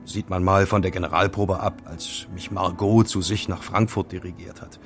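An actor playing a casual amateur sleuth who recounts intrigue in a wry, conversational way in an audio drama. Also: male voice, low-pitched, fairly even intonation, normal speed, faint music behind, lightly acted. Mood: earnest, recounting. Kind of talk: German audio drama dialogue.

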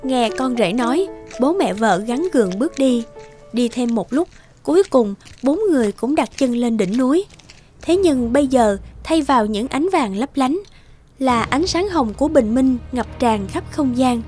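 High-pitched woman narrating a fairy tale. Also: expressive, moderate pace, darkish tone. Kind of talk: scripted fairy-tale narration for children's cartoon. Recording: music clearly behind the voice.

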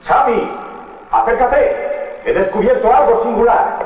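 Ship captain, bossy n curious, 80s radio play, bit hissy and dull. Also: male voice, very high-pitched, unhurried. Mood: excited calling out. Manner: authoritative, giving orders. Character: bold, curious, commanding male sea captain. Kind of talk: 1980s Spanish radio drama acting. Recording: dull, slightly noisy 1980s radio recording.

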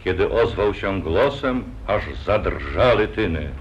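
Man reciting epic verse slow, lots of swing in his voice, dull old lo-fi radio recording with faint hiss. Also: deep voice, animated. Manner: solemn, sing-song epic verse narration. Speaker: narrator. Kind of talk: 1940s radio acting.

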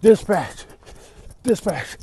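Male comedian as veteran cop, comedy voiceover, high pitch, folksy, fed up, grumbling. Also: big pitch swings, takes it slowly, mellow, slight background noise, full character voice. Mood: harried, pleading. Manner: grumbling, world-weary, folksy. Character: weary veteran male cop on a foot chase, exasperated. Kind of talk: comedic improvised video voiceover.

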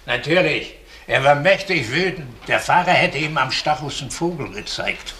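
A man's voice: fairly high pitch, expressive, moderate pace, pushed and bright, slight background noise. An actor playing a shrewd, precise older retired policeman in a German crime radio play. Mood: precise, recounting.

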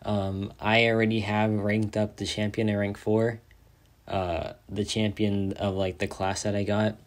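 A young man with a slightly low voice gone raspy and hoarse from hours of talking. He chats casually and excitedly about his game rewards, rambling with lots of filler words. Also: unhurried. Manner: excited, rambling, says "like" often. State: hoarse, tired from a long day of talking. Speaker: mobile game content creator. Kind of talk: casual gameplay commentary.

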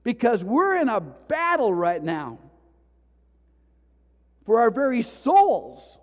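A man preaching in a church, his voice sitting high for a man. He talks slowly and with feeling, moving from loud pleas to near-whispers. The sound rings with the long reverb of a big hall. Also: wildly swooping pitch. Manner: impassioned, swinging from loud pleas to hushed tones. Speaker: pastor. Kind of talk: sermon. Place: church sanctuary.

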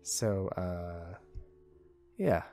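A young man playing a shy, apologetic employee with a crush, speaking softly and hesitantly in an intimate ASMR roleplay. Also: low voice, heavily acted. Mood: earnest, shy. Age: young adult. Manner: hesitant, soft-spoken, halting. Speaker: voice actor. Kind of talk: ASMR roleplay, intimate one-sided conversation. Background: soft guitar music.

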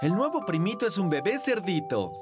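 A man narrating a children's cartoon in simple, clearly phrased storybook style. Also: high-pitched, very animated, brisk, over loud music.

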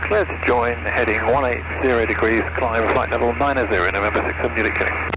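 A man's voice: medium pitch, fairly even intonation, fast. A man working as a pilot, making clipped calls to air traffic control over aircraft radio. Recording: very noisy aircraft radio transmission.

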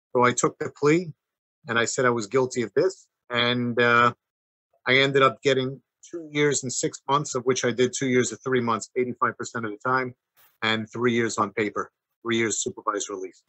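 A middle-aged man from New Jersey, an interview guest telling a personal story casually, halting and repeating words. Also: mid-range voice, pushed and bright, no echo, poor audio. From New Jersey, United States. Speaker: interview guest, former prisoner. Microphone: video call.

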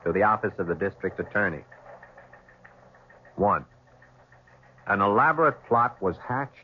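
A man's voice: fairly low pitch, lightly acted. A man playing a businesslike, formal homicide lieutenant in a 1970s radio drama. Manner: formal, methodical. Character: brisk, businesslike male police homicide lieutenant. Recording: noisy, tinny 1970s radio broadcast.

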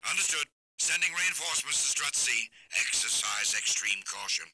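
A man's voice: mid-range voice, lively intonation, moderate pace, sharp tone, dry recording, exaggerated voice, low-quality recording. An actor playing a stern male military command officer in a video game, giving clipped, urgent orders over a radio. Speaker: military dispatcher.

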